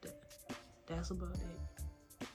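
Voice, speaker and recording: A woman's voice: lowish voice, slow, faint music behind. A young adult woman, chatting casually and telling stories in a late-night Q&A at home. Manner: chatty, animated storytelling with lots of "like" and "y'all". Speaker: vlogger. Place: at home, face mask on.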